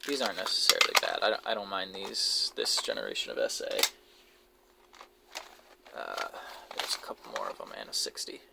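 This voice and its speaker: A man giving casual, unscripted show-and-tell commentary in a low-key, halting way, as if musing to himself. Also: very animated, gravelly. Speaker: hobbyist video creator showing a cassette collection. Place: basement, indoors. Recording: noisy amateur audio with clicks and handling noise.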